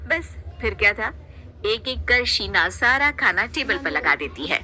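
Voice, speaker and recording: Woman narrator, very bright forceful tone, scripted family storytelling for cartoons. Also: medium pitch, sing-song, measured pace.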